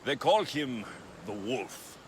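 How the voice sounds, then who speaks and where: An actor playing a young, stoic and haunted mercenary hero in a video game, speaking tersely. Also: male voice, very animated, slow. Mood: businesslike. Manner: terse, low-key. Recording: noisy game audio with ambient background.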